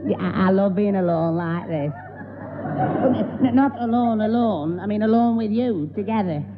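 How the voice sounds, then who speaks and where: A man's voice: high-pitched, moderate pace, crowd noise, noisy background, natural voice. An actor playing a bumbling, lovesick young man who stammers and muddles his words in a 1960s radio sitcom. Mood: soppy. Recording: tinny old radio recording.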